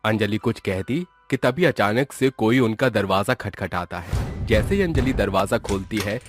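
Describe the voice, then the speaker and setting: A man narrating an animated moral story in a steady, clear storytelling manner. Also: fairly low pitch, normal speed, soft background music.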